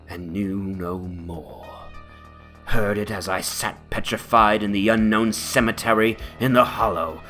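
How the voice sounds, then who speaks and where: A man's voice: on the low side, a bit bright. A man playing a shaken, horror-stricken witness, narrating gothic testimony in a grave, theatrical way. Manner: theatrical, grave, swelling into anguished cries. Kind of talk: dramatic horror reading. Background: music.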